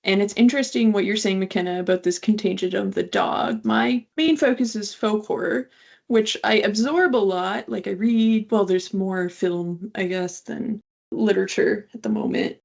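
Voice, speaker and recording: A woman's voice: medium pitch, moderate pace, gravelly, darkish tone. A woman talking informally and thoughtfully as a writer in a casual panel conversation. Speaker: writer, incoming master's student in creative writing. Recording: dull lo-fi audio.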